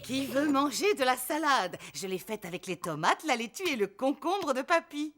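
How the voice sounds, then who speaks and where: Highish, kindly granny pig. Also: female voice, big pitch swings, normal speed, fairly bright tone, soft background music. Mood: kindly coaxing. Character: granny pig, kindly, fussing elderly grandmother. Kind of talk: children's cartoon dialogue.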